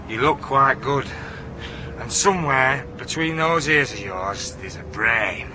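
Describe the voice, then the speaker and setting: A high-voiced man as a controlling, sarcastic husband in a radio drama. His delivery is slow and very animated, needling and suspicious. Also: background noise. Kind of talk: intimate radio drama acting.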